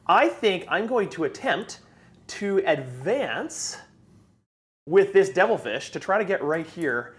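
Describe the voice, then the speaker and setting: A man's voice: high voice, very animated, slow pace. A man playing a tabletop wargame on a live stream, thinking aloud about his moves and often restarting sentences. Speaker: wargame player. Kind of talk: casual live game chat.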